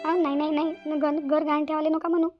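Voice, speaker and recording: A woman's voice: sits high, level tone, fast talker, muffled recording, faint music behind, lightly acted. A woman voicing a worried, stubborn village mother in a Marathi cartoon, plaintive and repetitive, with a Vidarbha Marathi accent. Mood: upset, pleading.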